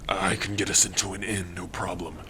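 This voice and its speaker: A man playing a gruff but tender, shyly smitten orc in an ASMR roleplay, soft-spoken and hesitant, with pauses and hums. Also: low-pitched, little pitch movement, slight background noise. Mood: caring, casual. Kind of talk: ASMR roleplay addressed to the listener.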